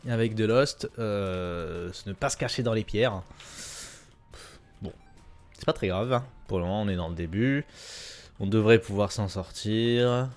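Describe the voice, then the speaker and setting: A man giving relaxed, rambling gameplay commentary with lots of fillers and repeated phrases. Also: on the low side, unhurried, pauses often, faint music behind. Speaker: gaming YouTuber. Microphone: desk mic at a gaming setup.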